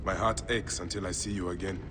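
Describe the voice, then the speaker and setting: A man's voice: deep voice, fairly even intonation, fairly quick, background noise, natural voice. A man playing a vengeful Egyptian lawman in a dramatic video game, tender with family and fierce with foes. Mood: resolute. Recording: long reverb, music behind.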